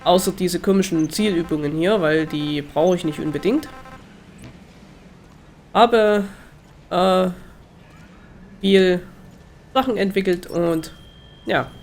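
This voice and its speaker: A man's voice: sits high, slow, long pauses, a little hiss or hum. A man with a slightly congested, nasal voice from a cold, giving casual, hesitant Let's Play commentary as he thinks aloud.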